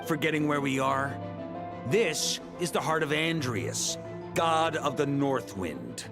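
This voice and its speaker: An actor voicing a determined knight who speaks earnestly and defiantly, at times calling out with passion. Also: male voice, a little high, lively intonation, takes it slowly, over loud music. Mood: resolute. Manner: earnest and defiant, rising to impassioned calls. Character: determined knight hero, steady and resolute.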